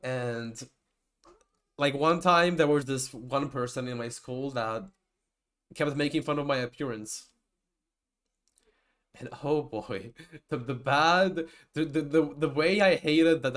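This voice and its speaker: A man's voice: mid-range voice, takes it slowly, pauses often, a bit bright. A young man, a native Georgian speaker, giving a rambling, casual lecture with many restarts and digressions. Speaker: typology lecturer.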